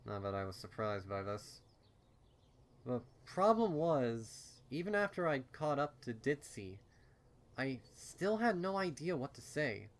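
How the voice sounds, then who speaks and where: A man giving an expressive first-person reading of a fan fiction story as narrator. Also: medium pitch. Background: music under the voice.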